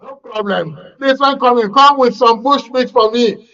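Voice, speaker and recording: A man's voice: extremely high pitch, moderate pace, sharp tone, highs cut off. A man with a Nigerian accent hosting live election coverage, courteous and often repeating his phrases. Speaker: programme host.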